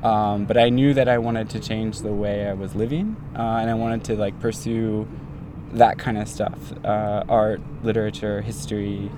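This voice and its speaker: A man's voice: on the low side, fairly even intonation, normal speed, noisy background. A young man in his twenties, a doctoral student, telling his story in a casual, rambling way full of fillers like "like" and "um". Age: 20s.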